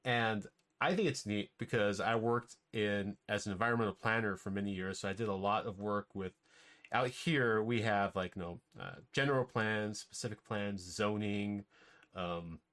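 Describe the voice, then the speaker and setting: A man's voice: fairly low pitch, normal speed, fairly bright tone. A man hosting a podcast, chatting in a loose, friendly way, often repeating words and using fillers like "like" and "stuff". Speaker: podcast host. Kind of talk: casual podcast chat.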